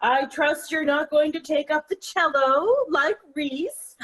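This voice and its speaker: Woman playing a high-voiced, prim older mother. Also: fairly even intonation, slow pace, low-quality recording. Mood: disapproving. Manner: gently scolding. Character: prim, disapproving older mother of grown children. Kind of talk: stage-play acting in a virtual table read.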